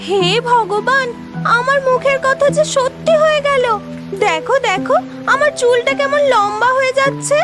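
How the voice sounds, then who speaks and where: An extremely high-pitched, sweet young heroine from a fairy-tale cartoon, acted by a woman. She is excitable and exclaims dramatically. Music plays clearly behind her lines. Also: moderate pace. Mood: amazed. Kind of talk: cartoon story dialogue.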